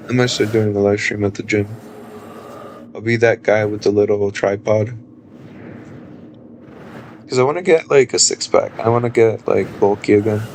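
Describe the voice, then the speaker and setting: Laid-back man in his thirties, rambling. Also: noisy background. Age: 30s. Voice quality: low-pitched speaking voice. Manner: loose and chatty, many fillers and laughs. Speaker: live streamer. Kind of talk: casual rambling chat.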